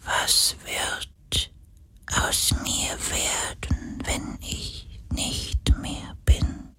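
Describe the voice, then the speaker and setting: A man voicing a dying, frightened ghostly spirit, breathy and barely audible. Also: pushed and bright, no echo. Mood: fearful. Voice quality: breathy, whispered. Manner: faint, slow, fearful.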